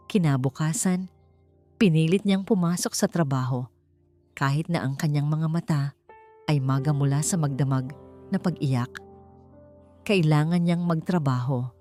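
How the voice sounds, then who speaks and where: A woman's voice: low-pitched, darkish tone. A woman narrator reading a scripted dramatic story as an audiobook.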